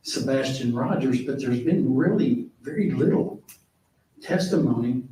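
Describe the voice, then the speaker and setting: A man making formal courtroom remarks in a stern, deliberate way. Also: medium pitch, expressive, mellow. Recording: very echoey room, distant microphone.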